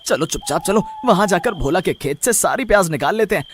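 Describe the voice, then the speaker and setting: Man playing a high-pitched greedy scheming onion seller. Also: animated, brisk, a little hiss or hum. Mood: conspiratorial. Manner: exaggerated, emphatic, repeats words. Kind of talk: animated moral story acting.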